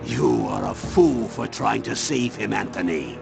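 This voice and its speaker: An actor playing a scornful villain in a video game, with a gravelly, creaky voice and an ominous, theatrical delivery. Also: male voice, fairly low pitch, big pitch swings, a little slow, heavily acted. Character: menacing, scornful villain speaking of doom. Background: music.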